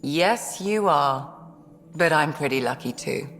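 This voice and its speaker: Low-voiced, dry ship's doctor. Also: female voice, animated, takes it slowly, a bit bright, big-room sound, exaggerated voice. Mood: dry, affectionate. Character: dry, caring, no-nonsense female ship's doctor. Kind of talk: video game voice acting.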